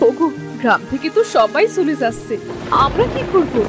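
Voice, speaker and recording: A woman's voice: high-pitched, very animated, moderate pace, over music. A woman voicing a caring, anxious village wife and mother in a cartoon story, coaxing as she speaks. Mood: worried. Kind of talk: Bengali cartoon story voice acting.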